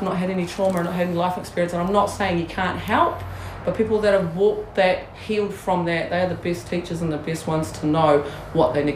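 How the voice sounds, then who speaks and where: A woman's voice: on the low side, echoey room, a little hiss or hum, rough recording. Blunt, swearing New Zealand woman. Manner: blunt, swears freely, laughs often. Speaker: guest. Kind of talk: candid casual interview.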